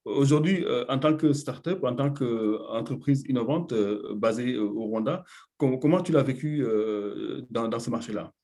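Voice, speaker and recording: A man's voice: medium pitch, normal speed, warm tone. Hesitant man moderating a panel. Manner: hesitant, many fillers.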